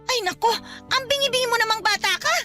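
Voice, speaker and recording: A woman's voice: extremely high pitch, fast talker, bright, forceful tone, faint music behind. A woman playing a nagging, defensive middle-aged aunt who dotes on her son in a radio drama. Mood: proud, nagging. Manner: nagging, indignant, emphatic. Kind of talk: Filipino radio drama acting.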